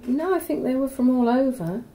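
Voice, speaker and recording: A woman's voice: high voice, lively intonation, fairly quick, mellow. An older woman with a Norwich accent, a retired university secretary recalling her working years in a chatty, reminiscing way. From Norwich, England. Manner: chatty, reminiscing, trailing off into asides. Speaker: interviewee, former university secretary. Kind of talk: oral history interview.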